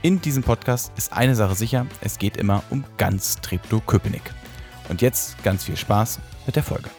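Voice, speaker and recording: A man's voice: on the low side, normal speed, over music. A man hosting a podcast, opening the show and asking friendly, teasing questions. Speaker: podcast host. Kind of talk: podcast interview.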